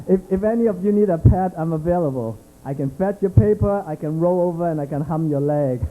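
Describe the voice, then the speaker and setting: A man from Vietnam hosting a comedy show in a small club, laughing at his own jokes, clearing his throat and restarting his sentences. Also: high-pitched, animated, normal speed, mellow. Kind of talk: stand-up host banter. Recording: tinny lo-fi live recording.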